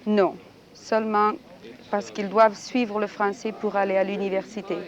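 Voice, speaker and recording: A woman from France answers in short, plain sentences with a flat, even voice. She is a teacher, speaking in an older radio interview. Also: medium pitch, moderate pace, dull audio. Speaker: secondary school teacher.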